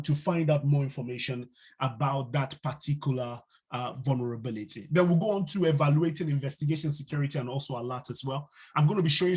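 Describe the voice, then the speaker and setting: A man teaching a live online cybersecurity class, unhurried and full of fillers, now and then putting questions to the class. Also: fairly high pitch, darkish tone, slightly rough. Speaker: instructor. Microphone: video call mic.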